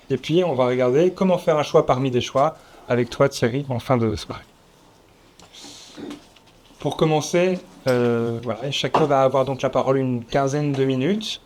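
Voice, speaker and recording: A man opens a round table in front of a seated audience. His delivery is measured and slightly formal, with a voice of ordinary pitch and the odd filler as he introduces each speaker. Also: darkish tone. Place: conference room with audience.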